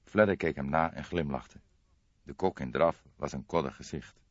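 A man's voice: rumbling low, normal speed. A man reading a crime novel as an audiobook narrator, in scripted storytelling style. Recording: dull, roomy audiobook recording.

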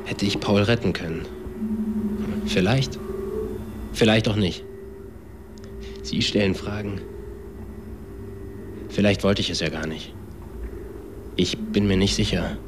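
An actor playing a rueful, down-on-his-luck drifter in a German radio drama, speaking halting, reflective standard German. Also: on the low side, background noise. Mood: doubtful. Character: rueful, indebted man on probation, flirtatious drifter.

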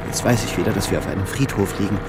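An actor playing a young German soldier who narrates the horrors of the front in terse, intense fragments for a radio play. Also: male voice, fairly low pitch, racing, noisy background, natural voice. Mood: tense. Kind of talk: dramatic radio play narration in present tense. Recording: loud war effects and music underneath. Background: music, battle sound effects.

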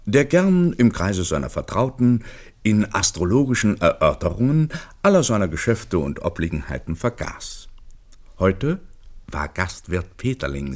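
An actor playing the storyteller of an old comic tale, narrating a literary radio play in a measured manner. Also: male voice, low-pitched.

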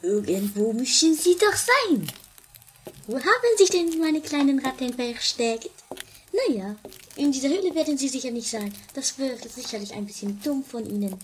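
Shrill theatrical character, extremely high voice, overdramatic, horror-farce radio play, noisy recording. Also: expressive, moderate pace. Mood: gloating menace. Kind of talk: improvised 1980s trash audio drama. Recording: noisy lo-fi 1980s amateur recording.

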